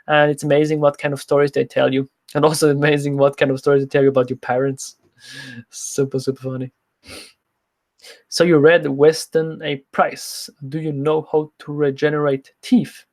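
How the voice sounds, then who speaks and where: Young male livestream coach, non-native English speaker, slightly high voice, informal Q&A delivery. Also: flat delivery, normal speed. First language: not English, speaks French as a second language. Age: young adult. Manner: informal, reads out questions then answers. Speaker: nutrition coach, livestream host. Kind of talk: casual livestream Q&A, answering viewer questions.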